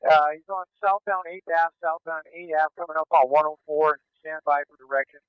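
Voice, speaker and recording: A man on police radio calling out pursuit directions live, halting and clipped, often repeating street directions. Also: on the high side, fairly even intonation, clean tone, no echo. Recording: muffled police scanner radio audio.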